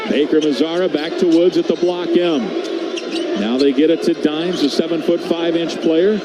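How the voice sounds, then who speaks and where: A man's voice: fairly high pitch, moderate pace, very noisy. A man giving live radio-style basketball play-by-play as the commentator, in clipped running descriptions that lift on big plays. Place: basketball arena, courtside.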